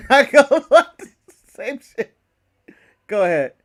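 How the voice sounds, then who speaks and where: High-voiced, joking American man. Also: sing-song, slow. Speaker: wrestling podcast co-host. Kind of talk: casual podcast banter.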